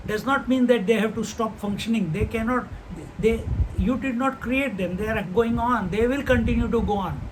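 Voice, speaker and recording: High-voiced older spiritual teacher. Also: male voice, a little hiss or hum, rough recording. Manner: unhurried, posing rhetorical questions; chants Sanskrit verses slowly. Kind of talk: scripture class talk.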